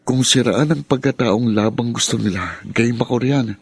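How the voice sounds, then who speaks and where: Creaky, sneering Filipino younger brother. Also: male voice, medium pitch, moderate pace, no room sound. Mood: scheming, bitter. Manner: sneering, melodramatic. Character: spiteful, taunting younger brother and defiant son. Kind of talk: Filipino radio drama acting.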